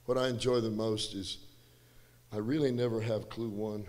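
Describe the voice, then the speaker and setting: Hoarse older man telling stories in church. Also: lowish voice, moderate pace. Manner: relaxed, rambling storyteller with self-deprecating asides. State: slightly hoarse. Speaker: guest musician and speaker. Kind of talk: church storytelling testimony. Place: church stage, large indoor room. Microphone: handheld mic. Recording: reverberant church hall with faint music.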